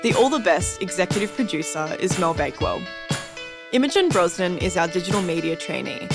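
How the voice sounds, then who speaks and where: A woman's voice: lowish voice, animated, fairly quick. Australian woman hosting radio over music. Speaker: radio show host. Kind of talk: scripted radio outro and credits. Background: music bed.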